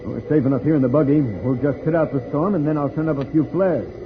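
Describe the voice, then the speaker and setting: A man playing a calm, reassuring spaceman hero in an old-time radio serial, speaking with clear projection. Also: fairly high pitch, quick pace, close to an everyday voice. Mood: calm, resolute. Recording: noisy, narrow-band vintage radio recording.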